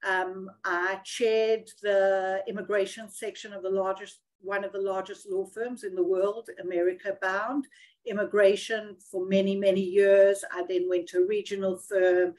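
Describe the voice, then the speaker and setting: A woman's voice: medium pitch, flat delivery, normal speed, darkish tone, rough recording. South African woman, earnest attorney tone. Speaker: immigration attorney, panelist. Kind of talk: webinar self-introduction.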